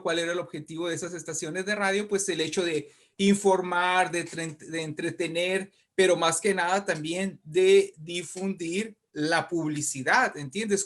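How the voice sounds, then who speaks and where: A Mexican man with a fairly high voice talks as a guest in an interview. He explains things at length and with emphasis, in a conversational way, often tagging phrases with little checks to the listener. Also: unhurried, a bit bright. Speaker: invited guest, writer and poet.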